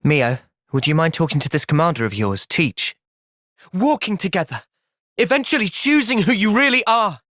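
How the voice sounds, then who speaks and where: Earnest young soldier hero from a video game, reflective and measured, very lively pitch, dry studio sound. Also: male voice, no echo. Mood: calm, pensive. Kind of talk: video game cutscene acting.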